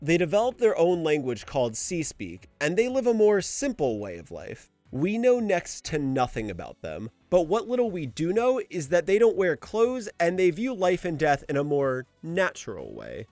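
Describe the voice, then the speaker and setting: A man's voice: a little high, a little mellow, no room sound. A man hosting an anime lore video, delivering scripted commentary in an emphatic way. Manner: emphatic, exasperated asides, swearing. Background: background music.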